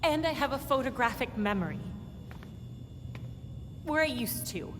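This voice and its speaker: An earnest young heroine for a game cutscene, full of self-doubt. Her voice sits high, in a long reverb with music behind it. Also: animated, unhurried. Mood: troubled, self-doubting. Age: young adult. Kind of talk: video game cutscene acting.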